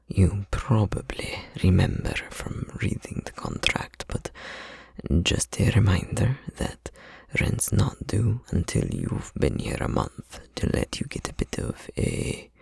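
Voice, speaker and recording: A man playing an easygoing, chatty tattoo studio employee in an ASMR roleplay, talking in a breathy, husky, casual, rambling way. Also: low-pitched, darkish tone, dry recording, heavily acted.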